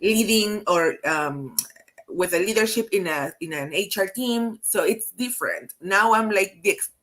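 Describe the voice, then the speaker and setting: A woman with a Peruvian Spanish accent, an HR consultant hosting a live webinar over a video call, speaking in halting phrases with many restarts. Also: medium pitch, a bit bright. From Peru. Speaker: host, HR consultant.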